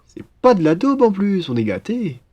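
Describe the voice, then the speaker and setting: A man's voice: sits high, sing-song, normal speed, highs cut off, background noise, pitched above the performer's own voice. A young man playing an upbeat, cheeky student roommate in a French roleplay, with a playful, slangy, enthusiastic manner. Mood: casual, friendly. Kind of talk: casual French roleplay acting.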